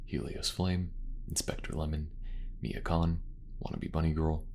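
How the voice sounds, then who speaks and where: A man's voice: extremely low pitch, a little slow, gravelly, a little mellow. A man who is a voice actor and channel host, speaking casually in an outro to his listeners.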